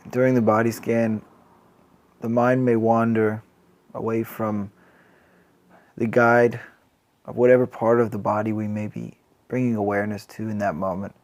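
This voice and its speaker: A man guiding a body scan meditation as a mindfulness teacher, calm and gentle, unhurried, leaving long pauses. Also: on the low side.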